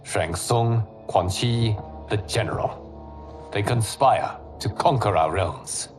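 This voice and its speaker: An actor playing a calm, noble and diplomatic male leader in a dramatic video game cutscene, with formal, measured phrasing. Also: on the low side. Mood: grave. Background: dramatic music.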